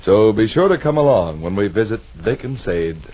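A man's voice: lowish voice, sing-song, normal speed. An American man announcing a radio show in 1940s style. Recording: dull 1940s radio recording.

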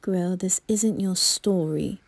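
A woman voicing a composed, secretive male butler with a breathy voice, formal and wary, in a soft anime roleplay. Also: fairly low pitch, slow, no echo, heavily acted. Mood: wary, hushed.

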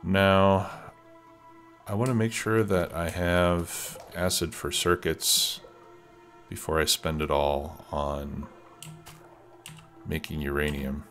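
A man with an extremely low, deep voice talks through a game at an easy pace, stuffed up from a cold. He thinks aloud with plenty of ums and uhs while music plays clearly behind him. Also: takes it slowly, pauses often. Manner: relaxed, thinking aloud with many fillers. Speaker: let's play host. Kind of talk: casual gameplay commentary. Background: background music and keyboard typing.

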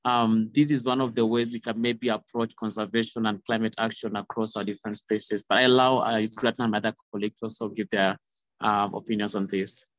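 A young man with a Kenyan accent giving a measured, informal panel answer over a video call. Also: fairly low pitch, level tone, fairly bright tone, no room sound. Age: young adult. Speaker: panelist, youth conservation leader. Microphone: video call, close device. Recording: muffled, dull video call audio.

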